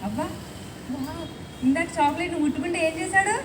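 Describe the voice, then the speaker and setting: A woman's voice: very high voice, fast talker. A woman hosting a children's puppet show, prompting and instructing like a Sunday school teacher. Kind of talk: lively question-and-answer with children and puppet. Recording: echoey hall, distant mic, clear noise.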